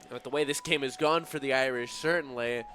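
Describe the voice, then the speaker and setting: A man's voice: medium pitch, fairly quick, sharp tone. A man giving analytical sports commentary as a color commentator.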